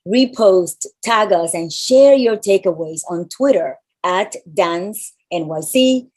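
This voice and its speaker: A woman with a mild Spanish accent and a deep voice reads welcome notes at a measured pace. She sounds like a host on a video call, speaking from a large room. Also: a little mellow. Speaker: event host. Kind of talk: reading scripted welcome announcements.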